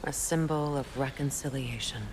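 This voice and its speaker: An actress playing a proud, wounded former queen and sorceress in a cinematic video game, measured and solemn. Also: a bass voice, steady tone, a little mellow, slight background noise, low-quality recording. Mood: sorrowful, reflective. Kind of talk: dramatic cinematic game acting.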